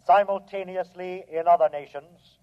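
An actor playing a calm, superior alien envoy in a 1950s radio drama, speaking in a formal, measured, condescending way. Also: male voice, high-pitched, close to an everyday voice. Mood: commanding. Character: calm, superior male alien envoy demanding Earth's surrender. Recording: tinny, band-limited old radio broadcast.

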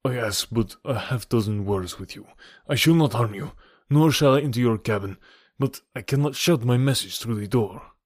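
A man's voice: on the low side, moderate pace, slightly rough, no echo, studio quality. A man doing a scheming guttural villain. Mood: menacing.

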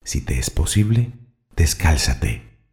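A man leading a guided meditation, speaking soothingly and deliberately in short phrases of instruction and affirmation. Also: very deep voice, sing-song, gravelly, a little mellow.

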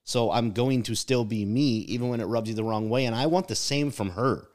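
A man's voice: mid-range voice, fairly quick, darkish tone. A man co-hosting a casual podcast conversation, talking in a reflective, emphatic way and leaning on "like" and "right?" to drive his points home.

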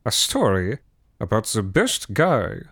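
A man's voice: on the low side, wildly swooping pitch, slow pace, a bit bright, husky, dry recording, clean studio sound, full character voice. A man voicing an eccentric rambling host character who mixes in German exclamations. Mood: excited.

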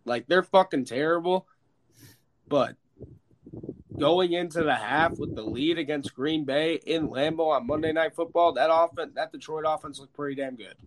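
An American man hosting a casual, sweary sports chat, opinionated and emphatic, with a stuffed-up, slightly hoarse voice. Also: a little high, moderate pace, rough recording. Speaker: sports podcast host. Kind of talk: casual, profane football chat.

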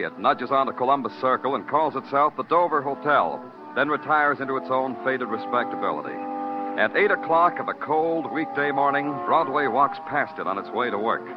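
An actor playing a world-weary, hardboiled New York police detective with a clipped, wry manner, in 1950s radio noir style. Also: male voice, mid-range voice, lightly acted. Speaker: narrator and lead actor. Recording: muffled old radio recording, loud music.

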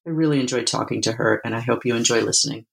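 Woman host, measured delivery, book podcast, hesitant questions. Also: a bass voice, gravelly. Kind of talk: scripted introduction and interview questions.

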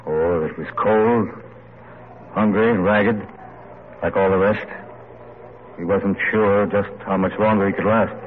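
An actor playing an earnest, idealistic army adjutant and writer in solemn, oratorical old-time radio style. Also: male voice, on the low side, fairly even intonation, measured pace, long pauses, phone-quality sound, close to an everyday voice. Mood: grave, respectful. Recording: noisy, band-limited vintage radio recording.

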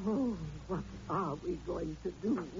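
An actress playing a fussy, fretful, excitable landlady in an old-time radio drama, with a fluttery, flustered way of talking. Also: lowish voice, measured pace, creaky, background noise. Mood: distraught. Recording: dull old radio recording.

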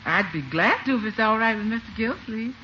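An actress playing a cheerful, deferential household maid in a 1940s radio comedy. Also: female voice, on the high side, expressive, brisk, sharp tone. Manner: deferential, exclamatory. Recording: muffled, noisy vintage radio recording.

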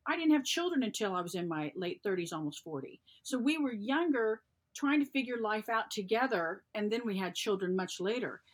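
A woman's voice: mid-range voice, animated, fairly quick, husky. A middle-aged woman, co-hosting a podcast and chatting thoughtfully. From American South. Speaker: podcast co-host. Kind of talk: casual chat.

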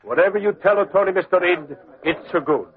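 A man's voice: high voice, brisk, natural voice. A man playing a trusting immigrant shopkeeper with a foreign accent and broken, obliging English in old radio drama. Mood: earnest, obliging. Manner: broken English, eager to please. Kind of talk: 1940s radio acting. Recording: muffled old radio recording.

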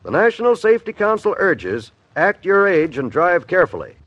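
A male radio announcer reading a scripted public safety announcement in an earnest, measured manner. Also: high-pitched, wildly swooping pitch, fairly bright tone. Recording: dull old radio recording.

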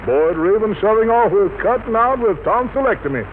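A man's voice: high-pitched, expressive, fairly quick. A man hosting a 1940s radio music show with florid jive showman patter, on an old broadcast recording. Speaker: radio show master of ceremonies. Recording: tinny, noisy vintage radio recording.

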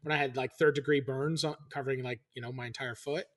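A man with a scratchy, boyish voice, chatting excitedly as a chef with lots of fillers. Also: medium pitch, fast talker. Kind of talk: casual chat.

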